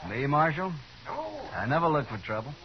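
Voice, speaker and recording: A man's voice: fairly high pitch, animated, normal speed, close to an everyday voice. An American man acting a smug, troublemaking ranch foreman with a sneering, mock-innocent manner in a 1950s radio drama. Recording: muffled 1950s radio recording, saloon crowd.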